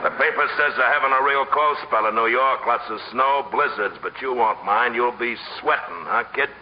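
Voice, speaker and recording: An actor playing a sarcastic gangster boss who makes tough, curt, mock-friendly threats in a radio comedy. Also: male voice, medium pitch, normal speed, close to an everyday voice. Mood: mocking menace. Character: menacing, unhurried gangster boss, coolly sarcastic. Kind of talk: 1950s radio comedy acting. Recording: dull, noisy vintage radio recording.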